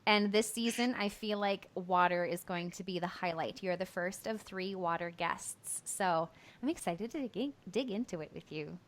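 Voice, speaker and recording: A woman's voice: fairly low pitch, moderate pace, few pauses. A woman hosting a podcast interview, enthusiastic and affirming toward her guest. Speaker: podcast host. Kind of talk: casual interview conversation.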